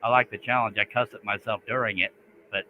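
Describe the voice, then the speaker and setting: Folksy Texan host on a tinny line. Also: male voice, lowish voice, steady tone, fast talker. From Texas. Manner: folksy, says "dang". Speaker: live stream host. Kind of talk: casual chat. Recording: tinny phone-like line with faint noise.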